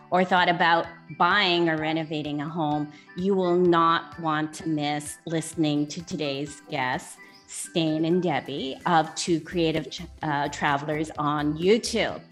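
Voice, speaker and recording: Chatty woman host, kinda low voice, upbeat, faint music behind. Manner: enthusiastic, chatty. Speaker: interviewer. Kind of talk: video interview.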